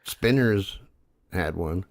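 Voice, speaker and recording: A man co-hosting a casual podcast chat, easygoing and quick to laugh along. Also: low-pitched, gravelly. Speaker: co-host and singer.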